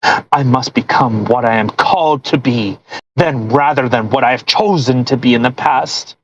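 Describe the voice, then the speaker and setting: A podcast co-host reading a post aloud with casual, mocking commentary, sarcastic and quick to swear and interject. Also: medium pitch, dull audio, slight background noise.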